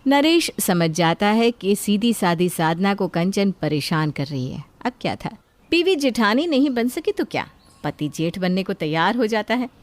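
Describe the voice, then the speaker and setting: A woman's voice: mid-range voice, sing-song, normal speed, no room sound. A woman reading a scripted family story as a narrator.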